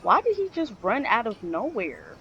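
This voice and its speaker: A woman's voice: on the high side, wildly swooping pitch, normal speed, a little hiss or hum. A woman doing casual, reactive commentary while playing a video game, on a dull-sounding mic. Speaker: gamer commenting on gameplay.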